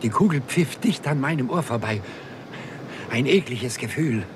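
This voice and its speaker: An actor playing an obsessed, brooding scientist in a 1960s German radio crime drama, speaking in a husky, fervent, halting, theatrical way. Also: male voice, mid-range voice, lively intonation, noisy background, lightly acted. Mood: shaken. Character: obsessed male scientist fascinated by raising the dead.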